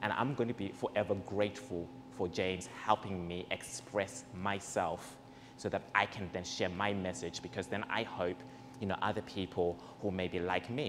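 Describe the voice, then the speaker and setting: Young guy from zimbabwe on a handheld mic, low voice, earnest and personal, hall echo with a bit of noise. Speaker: event host. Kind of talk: speech to a live audience. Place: large indoor event space with rows of seats. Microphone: handheld microphone. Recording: reverberant hall, faint background noise.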